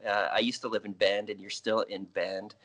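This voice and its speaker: A man's voice: lowish voice, level tone, moderate pace, a bit bright, in a large hall. A man hosting a podcast, talking reflectively and repeating phrases for emphasis, then interviewing a guest. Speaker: podcast host. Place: Central Oregon.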